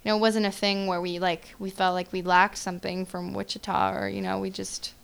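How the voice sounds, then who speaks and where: A woman's voice: medium pitch, little pitch movement, brisk, vocal fry, clean tone. A young woman, a musician talking easily in an interview with lots of agreeing asides. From Sweden. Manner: easygoing, lots of agreeing yeahs and you knows. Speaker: musician guest. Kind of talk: casual interview chat.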